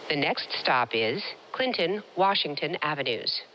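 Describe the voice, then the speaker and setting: A woman's voice: sits low, lively intonation, unhurried, a bit bright, dull audio, slight background noise. A woman delivering scripted train announcements as a transit announcer.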